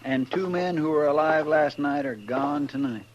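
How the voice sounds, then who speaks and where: An actor playing a loyal, folksy marshal's sidekick in a 1950s radio Western, deferential and musing. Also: male voice, on the high side, moderate pace, close to an everyday voice. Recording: noisy, dull old radio recording.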